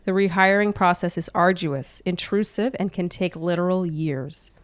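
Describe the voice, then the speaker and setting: A woman co-hosts an advice podcast in a conversational way. Her voice sits a little low for a woman and is notably smooth and clear. The sound is dry, with very little room in it. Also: moderate pace, dry recording. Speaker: podcast co-host answering listener questions. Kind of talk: reading a listener email aloud, conversational advice podcast. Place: living room, indoors.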